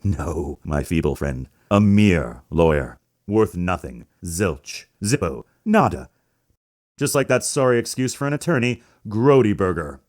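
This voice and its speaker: A man's voice: sits low, expressive, unhurried. An actor playing a pompous, menacing corporate boss in a video game, sneering and theatrical as he talks down to people. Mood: sneering, condescending. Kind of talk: dramatic video game character acting.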